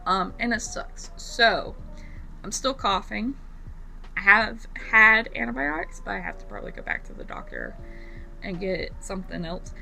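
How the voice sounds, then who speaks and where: A young woman with a raspy voice from bronchitis, giving rambling, casual tips on becoming an esthetician to her viewers. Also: medium pitch, very animated, normal speed, pushed and bright, soft background music. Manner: rambling, lots of fillers and repeats. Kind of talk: casual vlog talk to camera.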